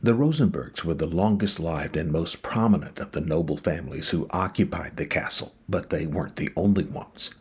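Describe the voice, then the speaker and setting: Deep-voiced male travel narrator. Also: moderate pace, few pauses, a little hiss or hum. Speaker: travel show host.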